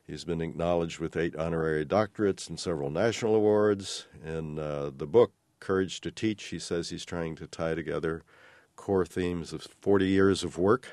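Deep-voiced American man hosting an interview. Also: a little mellow, no room sound. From Texas, United States. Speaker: interviewer and host. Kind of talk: unhurried radio-style interview.

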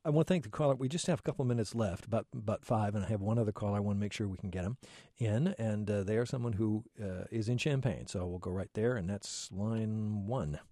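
A male radio host moving briskly between callers. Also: fairly low pitch, dark tone, dry recording, studio quality. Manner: brisk, businesslike. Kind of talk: call-in show hosting.

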